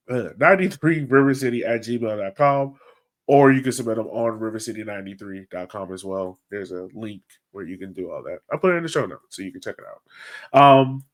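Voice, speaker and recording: Male podcast host, medium pitch, informal and conversational, easy sports chat.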